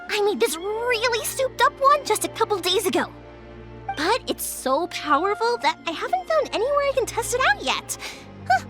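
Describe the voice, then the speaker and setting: A bubbly young fireworks girl in a video game. The voice is very high and swoops freely, breezy and exclamatory, over clear background music. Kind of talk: video game cutscene voice acting.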